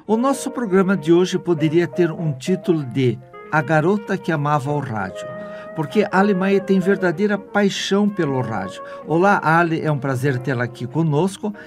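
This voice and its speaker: A man's voice: on the high side, animated, normal speed. A man in his sixties with a Brazilian accent, hosting a radio interview on a studio microphone. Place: small foam-padded radio studio. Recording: music behind the voice. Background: music.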